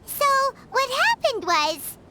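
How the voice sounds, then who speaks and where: Tiny chirpy fairy sidekick, super high and bouncy, video game. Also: female voice, wildly swooping pitch, takes it slowly, background noise, exaggerated voice. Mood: earnest, chirpy. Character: small, childlike, chirpy floating fairy companion.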